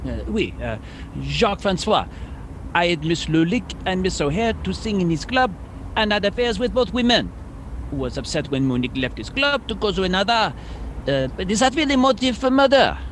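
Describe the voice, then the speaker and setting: A man playing a halting, deferential French police sergeant in a comic radio mystery, with a French accent. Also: high-pitched, sing-song, fairly bright tone, slight background noise, poor audio. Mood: hesitant, dutiful. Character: eager, hesitant French male police sergeant sidekick.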